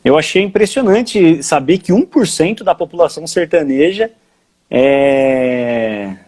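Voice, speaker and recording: A man's voice: fairly high pitch, expressive, unhurried, darkish tone. A man interviewing a missionary, mostly answering with short affirming remarks. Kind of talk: interview, brief reactions.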